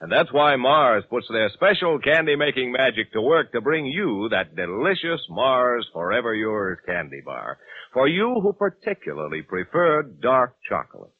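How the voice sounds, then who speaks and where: Old time radio ad guy, emphatic and really lively pitch, thin phone-like old recording. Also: normal speed, breathy edge, no echo. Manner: polished, emphatic salesmanship. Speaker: radio announcer. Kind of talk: 1940s radio sponsor announcing.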